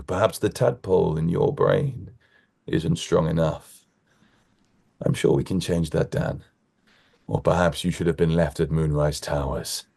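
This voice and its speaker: A sinister tyrant from a fantasy game, threatens softly and deliberately. His voice is extremely deep, dark and husky, with a gravelly creak. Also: full character voice. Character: sinister, silky tyrant recruiter, calmly threatening.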